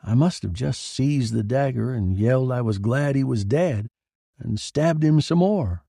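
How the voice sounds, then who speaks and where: A man's voice: medium pitch, expressive, measured pace, creaky, dark tone, no room sound, clean studio sound, pitched above the performer's own voice. A man voicing a defiant, candid young wife in a dramatised crime story, bold and unrepentant. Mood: insistent. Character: defiant young wife calmly confessing to stabbing. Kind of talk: dramatised short story reading.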